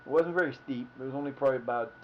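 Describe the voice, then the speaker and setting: Fifties Ohio man, livestream host, chatty and wandering, mid-pitched voice on dull lo-fi audio. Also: racing, slight background noise. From Ohio, United States. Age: 50s. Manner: meandering, self-correcting, folksy. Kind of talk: rambling casual storytelling.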